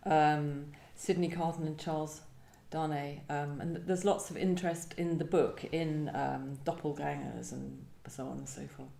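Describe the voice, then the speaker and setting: Lower-voiced mellow woman in echoey room. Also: steady tone, normal speed, creaky, hardly any pauses. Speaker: guest poet. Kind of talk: live poetry event, introducing and reading poems.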